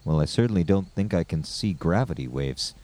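An actor playing an anxious, remorseful, decent scientist in a science fiction audio drama. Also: male voice, deep voice, expressive, a little fast, dark tone. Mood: worried.

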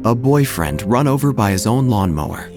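A man's voice: medium pitch, sing-song, moderate pace, natural voice. A man playing a droll, gossipy small-town church narrator, telling a scripted comic story with wry, theatrical phrasing. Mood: droll, confiding. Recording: music under the voice.

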